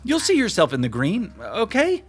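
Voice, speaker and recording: An actor playing a nervous, earnest, overeager small-business marketer in an audio drama, hesitant, pleading and prone to stammering. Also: male voice, sits high, huge pitch swings, moderate pace, husky, full character voice. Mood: anxious, placating.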